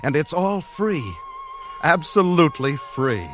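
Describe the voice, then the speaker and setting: A man's voice: on the high side, very animated. An actor playing an intense, dreamy, penniless poet reading his diary in a theatrical, brooding 1940s radio monologue. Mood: exultant, dreamy. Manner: theatrical, brooding, deliberate phrasing. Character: intense, dreamy impoverished male poet diarist. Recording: vintage radio broadcast with music.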